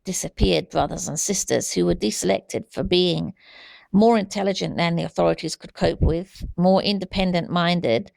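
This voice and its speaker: A woman giving an unscripted opinion monologue in a halting, deliberate, earnest way. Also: lowish voice, gravelly, a little mellow, no room sound, rough recording. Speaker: amateur video commentator.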